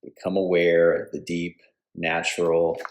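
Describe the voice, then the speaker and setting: Male meditation guide: deep-pitched, very unhurried, calm instructional delivery with deliberate pauses. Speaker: yoga nidra instructor.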